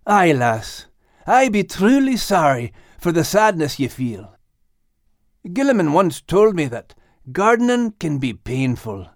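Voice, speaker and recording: Gravelly, plucky Scottish dog. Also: male voice, a little high, sing-song, a little slow, no echo, clean studio sound, voice pushed up. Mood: gentle, consoling. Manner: folksy, blunt. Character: plucky, plain-spoken, kindly Scottish male dog. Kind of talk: audiobook character acting.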